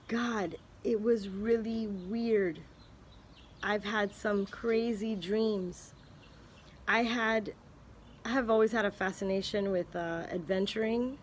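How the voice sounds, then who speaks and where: A woman talking slowly and unhurriedly to her camera, thinking aloud and often pausing to restart a thought. Her pitch sits in an ordinary middle range, and her tone is earnest and speculative, like a casual chat with a friend. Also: a little hiss or hum. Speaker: vlogger. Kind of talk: casual spoken musing to camera.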